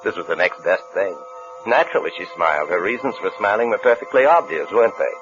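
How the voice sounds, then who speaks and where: An actor playing a cool, scheming fugitive husband who narrates wryly in a 1950s radio suspense drama, with an American accent. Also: male voice, sits low, fast talker, over music, lightly acted. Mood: wry, reflective. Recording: muffled old radio recording.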